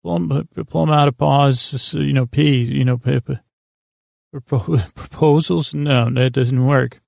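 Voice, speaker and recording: A man with a creaky, gravelly voice hosting a bedtime podcast, rambling in a halting, meandering way with stammered tangents and pauses to lull listeners to sleep. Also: medium pitch, steady tone, darkish tone, no room sound, studio quality.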